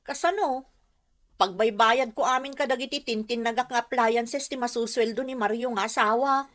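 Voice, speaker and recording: A woman playing a sharp-tongued, debt-ridden wife in a radio comedy-drama, argumentative, with an Ilocano accent. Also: on the high side, moderate pace, close to an everyday voice. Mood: exasperated. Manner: nagging, argumentative. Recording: clearly noisy audio.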